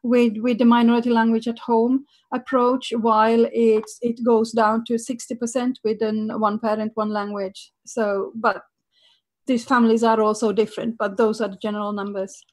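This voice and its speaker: High, flat-voiced woman panelist. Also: normal speed. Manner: explanatory, cites research. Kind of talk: live panel discussion.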